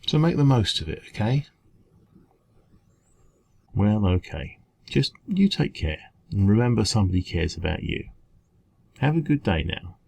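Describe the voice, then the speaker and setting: A man with an English accent playing a kind café dragon in an ASMR roleplay, talking gently and reassuringly in a creaky voice. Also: lowish voice, big pitch swings, measured pace, long pauses, full character voice. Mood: caring. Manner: gentle, reassuring, hesitant conversational encouragement. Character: kind, caring male dragon who runs a café. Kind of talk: ASMR roleplay, comforting one-to-one talk.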